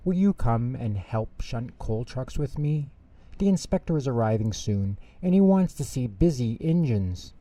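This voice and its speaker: A man's voice: medium pitch, expressive, unhurried, dark tone, dry recording, close to an everyday voice. Man voicing an eager, cheerful little storybook tank engine. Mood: cheerful asking.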